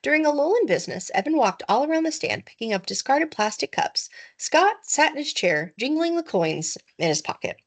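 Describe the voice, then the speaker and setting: Female narrator, teacher-style children's book reading, expressive, very varied intonation. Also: high-pitched, fairly quick, few pauses.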